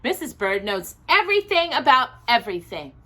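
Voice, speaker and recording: Woman voicing a chatty, bright young girl. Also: sits high, sing-song, moderate pace, pitched above the performer's own voice, exaggerated voice. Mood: eager. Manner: chatty, eager, reassuring.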